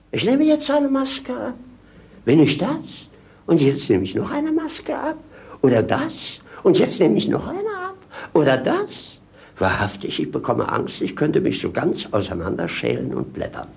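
A masked fool in an old radio play. The voice is fairly high, swings wildly in pitch and has a creaky edge, declaiming in a rambling showman's patter. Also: huge pitch swings, measured pace, exaggerated voice. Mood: mock bewildered. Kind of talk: 1950s German radio play acting.

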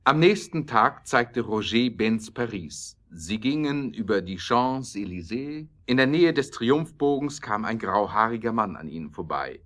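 A man's voice: lowish voice, animated, unhurried, hardly any pauses, fairly bright tone. A man reading scripted narration for a German audio drama.

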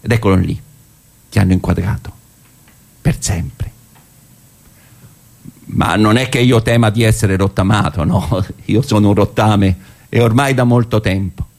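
A wary old comrade of the left, played by a man, speaking in a fairly low voice. He delivers a theatrical monologue with deliberate phrasing, weighted pauses and dry irony. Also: creaky, darkish tone. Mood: wry, self-mocking. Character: wry, wary old leftist militant, male.

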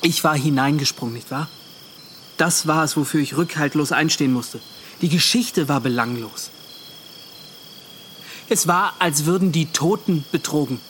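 An actor playing a haunted young ship's officer, bitter and agitated, justifying himself in a radio drama monologue. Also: male voice, on the high side, normal speed, long pauses, fairly bright tone, slight background noise, lightly acted. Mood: defensive. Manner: agitated, self-justifying, rhetorical questioning.

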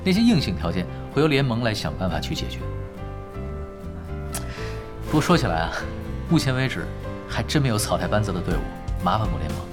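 Lively-pitched, confident young male gamer. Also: brisk, pauses often. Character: calm, confident young male pro gamer planning a comeback. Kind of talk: Chinese audio drama acting. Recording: studio voice over clear background music.

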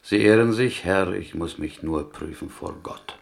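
A pious small-town prisoner from Galicia in a radio drama. His voice is low and gravelly, and he shapes his inverted sentences deliberately, with a gentle, wry plaintiveness. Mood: earnest, indignant. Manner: deliberate, melodic phrasing with inverted word order. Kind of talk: German radio play acting.

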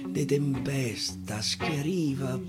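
A man with a Roman accent reciting a dialect poem theatrically on the radio, swinging from whispers to loud climaxes. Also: medium pitch, slow pace. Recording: radio broadcast with guitar underneath. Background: guitar music.

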